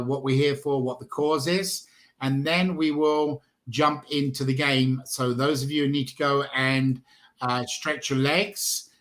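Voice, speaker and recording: A man's voice: medium pitch, a bit bright. A man hosting a live charity video call, chatty and upbeat as he introduces guests. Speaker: livestream host.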